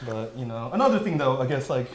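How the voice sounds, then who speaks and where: A man's voice: medium pitch, big pitch swings, quick pace. A man co-hosting a podcast, chatting casually in a slangy, joking way with some swearing. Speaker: podcast co-host.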